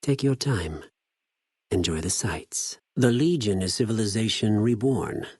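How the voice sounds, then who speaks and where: An actor playing a cold, zealous, contemptuous legion spy in a video game, speaking formally with measured condescension. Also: male voice, on the low side, expressive, a little mellow, breathy edge, no echo, clean studio sound. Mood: cold reverence. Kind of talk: scripted video game character dialogue.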